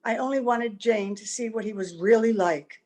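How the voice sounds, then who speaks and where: A woman acts out a wistful, ageless young heroine of an old-time radio play. She is tender and earnest, with a fairly high, gentle voice. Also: normal speed, lightly acted.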